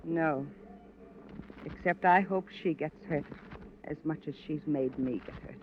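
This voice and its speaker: A woman's voice: deep voice, a little slow. An actress playing the bitter, wounded wife of a murdered man in an old radio drama, terse and resigned. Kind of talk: 1940s-50s radio drama acting. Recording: muffled, noisy vintage radio recording.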